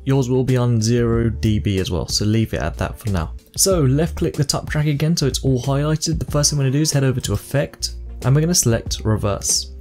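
A young man hosting a video tutorial, explaining software steps one by one into a close microphone. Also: mid-range voice. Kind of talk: step-by-step software tutorial narration.

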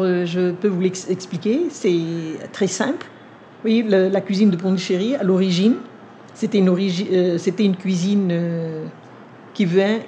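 An older woman whose first language is Vietnamese, speaking in a sit-down interview in a living room, measured and thoughtful with frequent pauses. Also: fairly low pitch, warm tone, muffled recording, a little hiss or hum. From India. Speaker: cookbook author.

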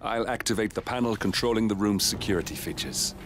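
An actor playing a calm, politely instructive male handler who guides an assassin remotely in a video game. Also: on the low side, slight background noise, lightly acted. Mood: calm, guiding. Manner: measured, composed, politely instructive. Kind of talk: video game mission guidance dialogue.

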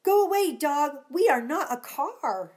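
A woman voicing an excitable young boy, friendly and bossy, in a children's storybook, emphatic and exclaiming. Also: high voice, measured pace, voice pushed up. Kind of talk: children's storybook read-aloud.

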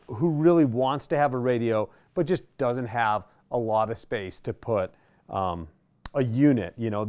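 A middle-aged man with an American accent and a mid-pitched voice gives casual product commentary. He is laid-back and conversational, talking through his thoughts as he handles things at a table. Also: darkish tone. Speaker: product reviewer and presenter. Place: indoor radio workshop.

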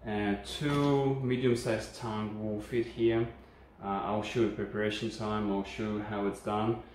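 A man with a Ukrainian accent talks through a casual home-kitchen review, friendly and explanatory, often pausing on fillers. His fairly low voice bounces around a hard, very echoey kitchen. A phone camera set some distance away picks him up over a faint background hum. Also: fairly even intonation, darkish tone. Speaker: channel host reviewing a kitchen appliance. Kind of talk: casual unscripted product review.

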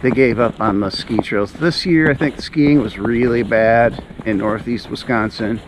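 A man's voice: medium pitch, steady tone, measured pace. A middle-aged man hosting a casual walking vlog, chatting as he goes along a trail outdoors. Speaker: vlog host on a hike. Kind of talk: casual chat while walking. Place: outdoors on a snowy woodland trail. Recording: noisy outdoor recording, walking on snowy trail.

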